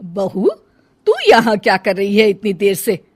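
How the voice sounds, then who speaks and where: A woman's voice: medium pitch, steady tone, unhurried, close to an everyday voice. Woman acting a bossy, scolding mother-in-law. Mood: suspicious, questioning. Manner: scolding, exclamatory questioning. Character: bossy, suspicious middle-aged Indian mother-in-law. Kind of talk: dramatized Hindi family storybook acting.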